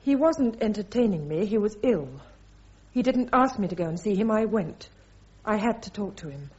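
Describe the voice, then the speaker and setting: An actress playing a loyal, forthright British army captain, speaking in a clipped, earnest way in a radio drama. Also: female voice, mid-range voice, expressive, moderate pace, darkish tone, close to an everyday voice. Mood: defensive. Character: loyal, forthright young female army captain giving testimony. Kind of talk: radio courtroom drama acting. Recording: slightly dull radio drama recording.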